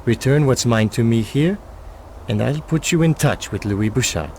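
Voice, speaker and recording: An actor playing a shady, guarded male fixer in a video game cutscene, who speaks tersely in a low voice. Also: lively intonation, normal speed, darkish tone, slight background noise, close to an everyday voice.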